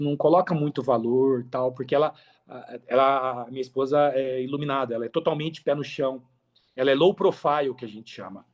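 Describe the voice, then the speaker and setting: A Brazilian man telling his story as a podcast guest in an expansive, slangy way, repeating himself for emphasis. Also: medium pitch. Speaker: podcast guest, affiliate marketer. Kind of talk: casual interview storytelling.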